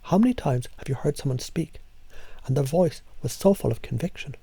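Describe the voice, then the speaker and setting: A man leading a slow, hypnotic voice exercise as a coach, speaking softly and often half-whispering. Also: a little high, creaky, breathy edge, dry recording. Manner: soft, calm, half-whispered delivery.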